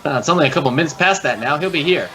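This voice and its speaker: A homey American detective's partner in a vintage radio drama. His higher, animated voice moves quickly and casually. He sounds easygoing and chatty. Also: a little hiss or hum. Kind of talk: 1950s radio drama dialogue.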